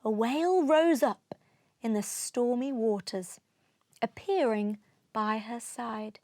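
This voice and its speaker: A woman narrates a children's storybook in rhyming verse. Her voice is high and her delivery is slow, expressive and rhythmic. The recording is close and dry. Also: dry recording. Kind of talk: expressive children's read-aloud.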